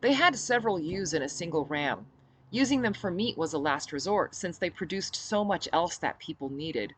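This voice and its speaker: A woman reading her own novel aloud as expressive first-person narration. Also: fairly low pitch, normal speed, husky. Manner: dramatic reader who shifts into character for dialogue.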